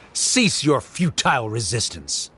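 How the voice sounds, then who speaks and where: An actor playing a haughty, stern scholar-official in a video game, speaking in a pompous, condescending, formal manner. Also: male voice, medium pitch, huge pitch swings, slow, bright, forceful tone, soft background music, full character voice. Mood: scornful. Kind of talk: video game villain acting.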